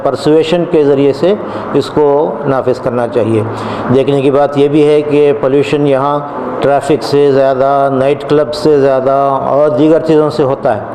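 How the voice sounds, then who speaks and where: A man giving a formal public statement, measured and hesitant. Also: mid-range voice, fairly even intonation. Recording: echoey room, faint background noise.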